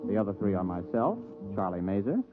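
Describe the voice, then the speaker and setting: A man's voice: deep voice, expressive, brisk, over loud music. A man playing a young former rocket pilot turned computer operator in a 1950s radio drama, plain-spoken and matter-of-fact. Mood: matter-of-fact, confiding. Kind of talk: 1950s radio drama, first-person narration and dialogue. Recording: thin phone-like old radio recording.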